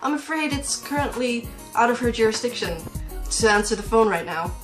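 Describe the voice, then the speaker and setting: A woman's voice: fairly high pitch, animated, a little fast, soft background music, close to an everyday voice. A woman playing a stiff, pompous executive's assistant in a formal mock answering machine greeting. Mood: officious. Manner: formal, officious.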